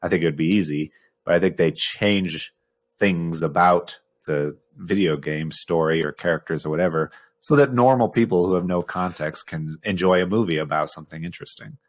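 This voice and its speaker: A man's voice: sits low, moderate pace. A man co-hosting a podcast, explaining his opinions in a casual, analytical way. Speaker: podcast co-host.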